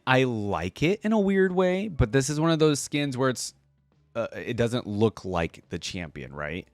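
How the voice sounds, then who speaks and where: A man's voice: on the low side, no room sound. A man hosting a casual reaction video, talking informally and thinking aloud as he comments. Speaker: video creator and host.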